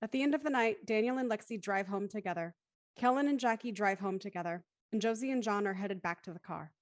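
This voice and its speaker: A woman's voice: medium pitch, fast, no room sound. A woman reading scripted scene narration for an audio play as its narrator.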